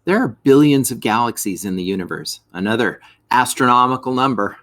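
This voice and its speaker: A man reading a scripted educational lesson aloud for children, as a teacher, in an expressive way that speaks straight to the listeners. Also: mid-range voice, brisk. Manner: expressive, addresses listeners with questions.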